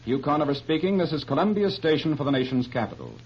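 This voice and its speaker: A man reading a 1930s radio newscast and station announcements in a brisk announcer delivery. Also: on the high side, lively intonation, husky. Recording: dull old radio broadcast with faint hiss.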